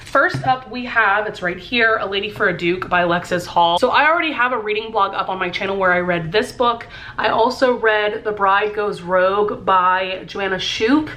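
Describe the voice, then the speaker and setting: A woman's voice: medium pitch, moderate pace, hardly any pauses, bright, forceful tone. Young female book vlogger, casual get-ready-with-me chat, bubbly and filler-heavy, mid-range voice. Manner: chatty and upbeat, many fillers like "um" and "like". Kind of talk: casual chat while doing makeup. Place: room at home lit by a lamp.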